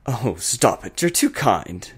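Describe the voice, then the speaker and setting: A man voicing an eccentric, cheeky chimera prince from a fantasy story, theatrical and teasing, with a smooth voice. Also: lowish voice, big pitch swings, takes it slowly, exaggerated voice. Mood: playfully flattered. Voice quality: smooth voice with an oddly placed accent.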